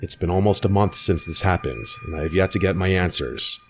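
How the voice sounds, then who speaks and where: A man reading a scripted first-person horror story in a measured, suspenseful way. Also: low-pitched, a little hiss or hum. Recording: music bed under the voice.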